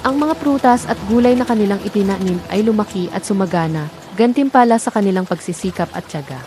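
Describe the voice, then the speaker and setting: A woman's voice: mid-range voice, fast, background noise. A woman storyteller reading a scripted folktale narration.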